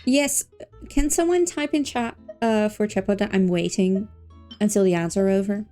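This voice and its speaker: A woman livestreaming a game, chatting casually and playfully with expressive exclamations and silly filler words, game music behind her. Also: mid-range voice, normal speed. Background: faint video game music.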